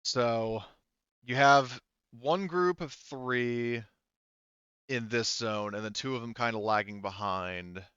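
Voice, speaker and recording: A man running a tabletop game as game master, talking casually and haltingly as he thinks aloud. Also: medium pitch, fairly bright tone, smooth, no echo, dull audio.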